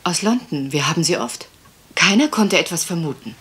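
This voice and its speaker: A woman's voice: on the low side, animated, moderate pace, a bit bright. A woman playing a troubled, wistful lady of a manor house in a radio crime drama, polite and hesitant. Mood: anxious, polite.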